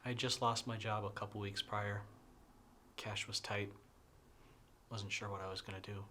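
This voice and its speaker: 60s guy, hushed confession, flat low voice, a bit of room sound. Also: moderate pace, a little hiss or hum. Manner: quiet, confessional, half-whispered. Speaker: interviewee. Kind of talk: film dialogue.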